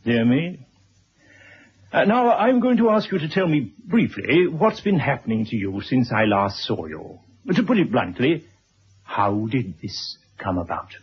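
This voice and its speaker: A courteous, fussy old retired gentleman in a vintage radio play. His voice is very husky and lively in pitch, with precise, polite phrasing. The sound is dull. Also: a little slow, heavily acted. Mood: fussy, probing. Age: older. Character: courteous, fussy elderly retired man writing a memoir. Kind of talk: 1970s radio drama acting.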